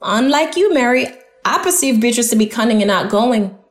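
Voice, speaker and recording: A woman's voice: on the high side, animated, fairly quick, close to an everyday voice. A woman playing a courteous, protective mother in an audio drama. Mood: confiding. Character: protective, courteous Southern mother, middle-aged.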